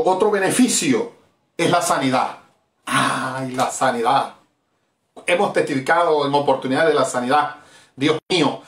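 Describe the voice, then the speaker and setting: A man's voice: medium pitch, a bit bright, echoey room. A middle-aged Venezuelan man preaching a fervent evangelistic sermon as pastor, with emphatic repetitions. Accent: Venezuelan Spanish. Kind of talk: evangelistic sermon streamed live.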